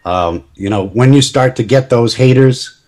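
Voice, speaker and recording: A man's voice: mid-range voice, a little slow, a little mellow. A middle-aged man talking casually as a podcast guest, chatty and self-deprecating, laughing at himself often. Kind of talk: casual interview chat.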